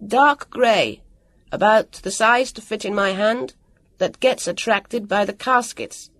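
A woman's voice: fairly low pitch, steady tone, unhurried, creaky, no echo, pitched above the performer's own voice. A woman voicing an earnest, self-dramatising boy who speaks precisely and emphatically in a storybook reading. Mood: careful calling.

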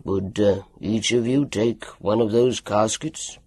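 A reader voicing a gruff, stern older male doctor who speaks in a curt growl. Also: mid-range voice, steady tone, slow pace, darkish tone, dry recording, voice pushed down.

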